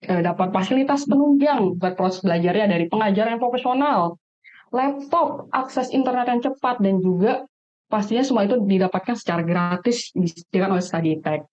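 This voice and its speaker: A woman's voice: mid-range voice, darkish tone. A woman with an Indonesian accent hosting a webinar in a casual, chatty, slangy way, heard over an online call. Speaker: webinar host. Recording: dull, lo-fi webinar audio.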